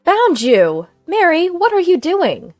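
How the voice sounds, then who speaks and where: Woman putting on a high, falsely sweet daughter-in-law. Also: huge pitch swings, slow pace, a little mellow, no room sound, soft background music, full character voice. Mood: scolding, insistent. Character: outwardly sweet, evasive, bossy daughter-in-law.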